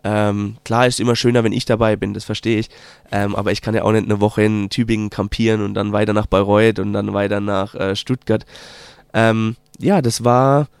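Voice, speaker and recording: A man with a Palatinate German accent, a documentary maker answering interview questions in a casual, halting way with lots of filler sounds. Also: fairly low pitch, little pitch movement, vocal fry, smooth, dry recording. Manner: hesitant, many filler sounds, slow drawn-out phrasing. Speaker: documentary filmmaker guest.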